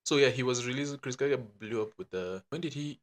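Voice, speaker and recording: A man from Nigeria, a music producer chatting playfully as a podcast guest. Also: medium pitch. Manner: playful, quick to tease and agree. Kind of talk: casual chat.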